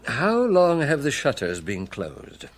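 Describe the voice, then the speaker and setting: Radio detective lead: male chief inspector, brisk, dry, shrewd, middle pitch with expressive swings. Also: full character voice. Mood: polite, puzzled. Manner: wry, brisk, thoughtful. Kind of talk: radio drama acting.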